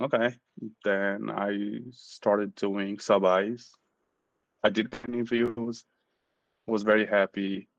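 A man's voice: lowish voice, creaky, no room sound, dull audio. A middle-aged man from Nepal with a Nepali accent, telling his personal story as an interview guest. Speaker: podcast guest, medical student.

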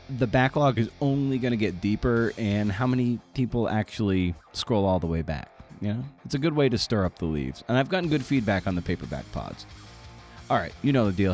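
Wry, low-voiced male podcast host. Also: a little mellow. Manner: chatty, wry and self-deprecating, with asides. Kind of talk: casual solo podcast intro.

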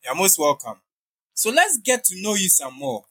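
A man's voice: sits high, wildly swooping pitch, normal speed, pushed and bright, slightly rough. A man hosting a podcast, introducing his guest and asking interview questions in a polite, encouraging manner.

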